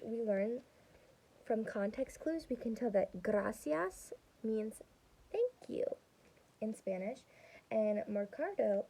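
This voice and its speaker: A young adult woman reading a storybook aloud to children as a student, expressive and pausing to explain things. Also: mid-range voice, vocal fry, poor audio. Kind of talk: storybook read-aloud with teaching asides.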